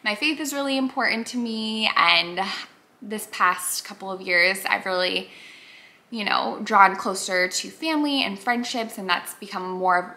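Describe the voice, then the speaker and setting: Woman doing a bubbly twenties YouTuber, crisp and bright tone, chatty, everyday mid-range pitch. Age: 20s. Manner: bubbly, chatty, frequent "like" fillers and giggles. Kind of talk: casual get-to-know-me vlog chat.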